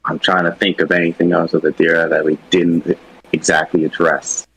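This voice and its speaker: A man with a low-pitched, rough-edged voice chats about characters. His phrasing is loose and tentative, and he keeps it informal. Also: level tone, normal speed, fairly bright tone, low-quality recording. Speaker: animation project team member. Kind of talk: casual chat discussing characters.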